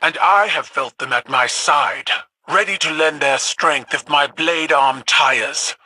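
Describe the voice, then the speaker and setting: An actor playing a proud, nostalgic warrior in a video game, with a formal, declamatory manner. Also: male voice, on the high side, slow pace, sharp tone, a little hiss or hum, full character voice. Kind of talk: video game drama acting.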